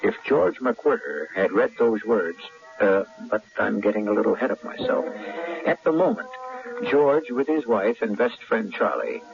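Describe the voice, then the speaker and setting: A man's voice: lowish voice, sing-song, normal speed, vocal fry, over music. A man hosting and narrating a scripted radio drama in a theatrical, literary manner. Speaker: host and narrator. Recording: dull old radio broadcast.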